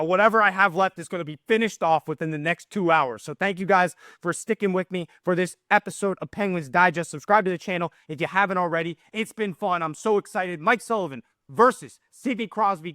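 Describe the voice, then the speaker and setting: A man hosting a hockey channel, hoarse and losing his voice, talking through the game with excited emphasis. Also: high voice, a little fast, hardly any pauses, no echo, clean studio sound. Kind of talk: casual hockey commentary.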